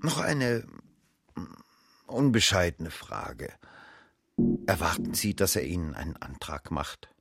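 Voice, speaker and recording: A sardonic young storyteller in a radio play, speaking in a deep, low voice and measured, ironic phrasing. Music plays behind him. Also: male voice, sing-song, vocal fry, a bit bright, husky, no room sound, studio quality, full character voice. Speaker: first-person narrator and lead character. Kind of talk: radio play narration and acting.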